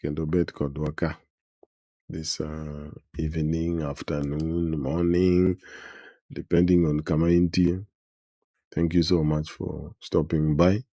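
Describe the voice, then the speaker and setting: A man's voice: a bass voice, little pitch movement, slow pace, warm tone, no room sound. A man with a Kenyan accent, hosting a podcast in a casual, conversational style. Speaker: podcast host. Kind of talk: casual bilingual chat.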